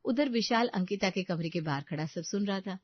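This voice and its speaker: A woman's voice: mid-range voice, brisk, no echo, muffled recording. A woman giving a scripted narration of a story.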